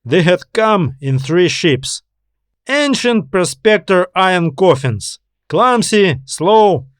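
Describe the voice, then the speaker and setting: A man's voice: high-pitched, animated, takes it slowly, no echo, studio quality, pitched above the performer's own voice. A man voicing a confident space-station officer who explains things emphatically in an audiobook. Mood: grim, emphatic. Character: confident male space-station officer reporting to his boss. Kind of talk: audiobook character dialogue.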